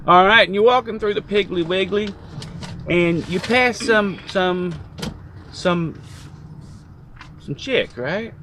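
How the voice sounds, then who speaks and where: A man with a somewhat high voice rambles through jokes and stories in a casual chat. He talks from the cab of his truck, with a faint hum of noise behind him. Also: slow pace, pauses often, sharp tone. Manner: rambling, joking storyteller. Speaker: trucking vlogger.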